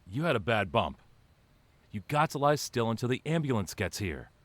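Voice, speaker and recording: A man's voice: medium pitch, big pitch swings, moderate pace, a bit bright, no echo. A man playing a blunt, steadying policeman in an old-time radio style drama. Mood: firm, calming. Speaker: actor.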